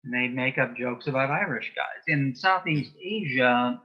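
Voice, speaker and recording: Husky guy being interviewed, bone-dry deadpan jokes and stories, kinda dull lo-fi sound. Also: mid-range voice, a little slow. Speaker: interview guest, author and former folklorist. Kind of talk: conversational interview, telling anecdotes and jokes.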